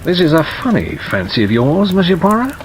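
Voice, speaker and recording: A man's voice: a little high, fairly quick, voice pushed up. A man voicing a retired male police superintendent in an audiobook, plain-spoken and sceptical, with a musing, hesitant delivery. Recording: steady rain noise over narration.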